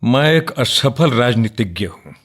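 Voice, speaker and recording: An actor in a radio play playing an earnest, outspoken nationalist man who debates firmly but respectfully, in deliberate, declamatory phrases. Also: mid-range voice, very animated, fairly bright tone. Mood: respectful, candid.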